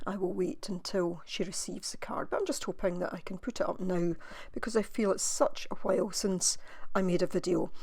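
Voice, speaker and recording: Soft-spoken woman, dry close mic. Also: medium pitch, no echo. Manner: soft, musing, thinking aloud with pauses. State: slightly croaky voice. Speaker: craft video maker. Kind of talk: relaxed crafting voice-over.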